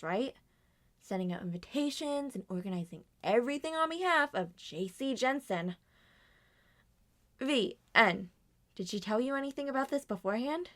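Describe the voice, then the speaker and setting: A woman voicing many characters in an ASMR roleplay, speaking softly and often dropping to a whisper. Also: medium pitch. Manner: soft-spoken, drifts between whispers and normal voice.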